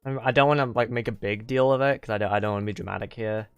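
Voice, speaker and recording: Low-voiced young man rambling to chat. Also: very fast, clear, resonant tone, dry recording, lo-fi sound. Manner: rambling, full of "like" and restarts; venting, exasperated. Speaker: streamer. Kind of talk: casual livestream chat with viewers. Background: faint game music.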